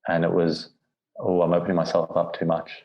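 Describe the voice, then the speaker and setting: A man speaking as a podcast guest, talking candidly and haltingly, often restarting his words. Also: low-pitched, monotone, muffled recording. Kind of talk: candid personal conversation.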